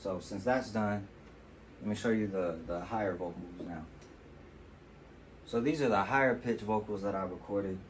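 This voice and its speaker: A man with a low, deep voice talks through his vocal mix in a laid-back home-studio tutorial. He explains things loosely, leaning on "you know" as he goes. A faint hum of room noise sits behind him. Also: moderate pace, pauses often. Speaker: music producer demonstrating vocal mixing. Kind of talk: casual tutorial walkthrough.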